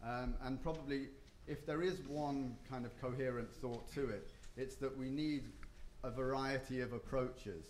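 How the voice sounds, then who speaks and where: Man, conference panelist, halting delivery full of ums, roomy hall sound, faint background ambience. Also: medium pitch, fairly even intonation, a little mellow, slight background noise. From not Japan or the US. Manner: hesitant, many ums and restarts. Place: hotel conference room.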